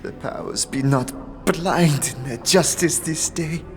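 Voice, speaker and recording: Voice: mid-range voice, slow, soft background music. A performer voicing a solemn, cryptic, vengeful woman in a fantasy audio drama, with formal archaic phrasing. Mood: solemn, satisfied.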